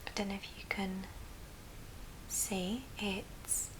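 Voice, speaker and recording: A woman whispering softly and breathily as she gently hosts an unhurried ASMR craft tutorial, explaining things step by step. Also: medium pitch, steady tone. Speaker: host of an ASMR craft channel. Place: indoors at a friend's house. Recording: clearly noisy room, clicking sounds.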